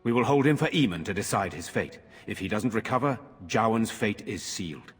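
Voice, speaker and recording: Solemn, husky nobleman. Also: on the low side, expressive. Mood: stern, deliberating. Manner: formal, measured. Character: solemn, dutiful nobleman brother ruling a troubled town. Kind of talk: scripted fantasy video game dialogue. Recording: hall reverb, music behind.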